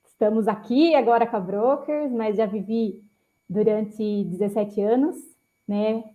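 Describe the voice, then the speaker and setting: A woman's voice: medium pitch, measured pace. A woman who worked at a bank for years, a guest on a live stream, speaking hesitantly with many fillers through a headset. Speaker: guest, former bank employee. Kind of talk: casual live stream talk. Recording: tinny call audio.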